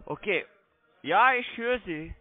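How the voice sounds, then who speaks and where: A man's voice: sits high, animated, takes it slowly, faint music behind. A non-native German-speaking man working as a phone sales agent, bluntly pressing the listener over a muffled phone line. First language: not German. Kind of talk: pushy phone sales call.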